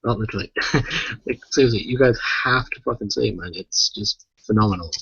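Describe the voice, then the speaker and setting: A man's voice: on the low side, fairly quick, gravelly, a bit bright, husky, dry recording, lo-fi sound. A British man co-hosting a casual podcast, chatty and rambling, swearing freely. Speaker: podcast co-host.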